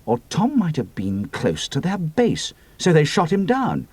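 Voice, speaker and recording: A man voicing a brisk, commanding male squadron leader who gives crisp, decisive orders. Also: a little high, wildly swooping pitch, a little mellow, no echo, pitched above the performer's own voice, exaggerated voice.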